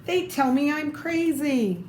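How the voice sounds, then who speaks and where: A woman's voice: high-pitched, expressive, takes it slowly, darkish tone, voice pushed up. A woman voicing a grumpy, possessive man from a children's storybook in a curt, exclamatory way. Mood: alarmed. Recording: echoey room with faint noise.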